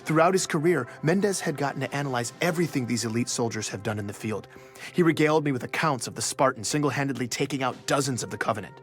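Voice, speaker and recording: A man's voice: medium pitch, lively intonation, quick pace, soft background music, close to an everyday voice. An actor playing an earnest, easily awed male journalist who narrates his story in a scripted audio drama. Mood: earnest, reverent.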